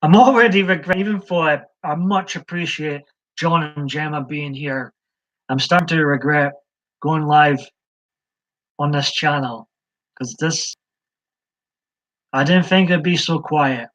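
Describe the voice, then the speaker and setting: A man's voice: fairly high pitch, unhurried, pauses often, fairly bright tone. A man from Scotland hosting a live stream, chatting in a rambling, hesitant way with many ums. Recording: slightly dull lo-fi stream audio.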